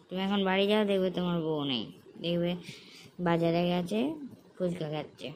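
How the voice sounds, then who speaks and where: A woman's voice: lowish voice, fairly even intonation, a little fast, darkish tone, close to an everyday voice. A woman voicing a cheeky, sly young village boy in an amateur comic cartoon, wheedling and mock-innocent. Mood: sly, goading. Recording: faintly noisy amateur recording.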